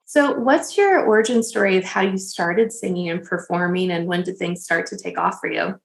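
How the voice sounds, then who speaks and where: A woman's voice: on the low side, moderate pace, gravelly. An American woman hosting a podcast, asking questions in a casual, conversational way. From Dallas, Texas, USA. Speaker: podcast host, interviewer. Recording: roomy, echoing sound.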